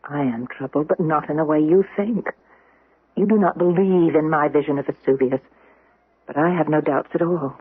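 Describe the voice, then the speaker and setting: A woman's voice: low voice, normal speed, slightly rough. An actress playing a troubled, intense Roman noblewoman who foresees catastrophe, in theatrical 1970s radio drama style. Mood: solemn, pleading. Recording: noisy, phone-like old radio broadcast.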